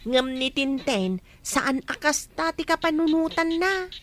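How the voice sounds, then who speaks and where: A woman's voice: high-pitched, lively intonation, unhurried, a bit bright. An actress playing a gossiping village woman in a radio drama, confiding what she heard and saw.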